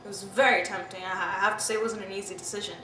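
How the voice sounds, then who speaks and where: A woman's voice: medium pitch, fairly even intonation, rapid-fire, pushed and bright. A woman in her twenties from Pakistan, giving a candid, reflective interview and often saying "you know" and "I mean". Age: 20s. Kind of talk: personal interview recollection. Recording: roomy, distant mic, background noise.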